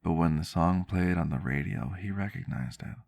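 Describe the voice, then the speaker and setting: A man plays a reflective male vampire doctor in an ASMR roleplay. His voice sits very low, with a heavy fry running through it. He tells his story gently, in measured and slightly antique phrasing. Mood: wistful. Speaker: roleplay character telling a story.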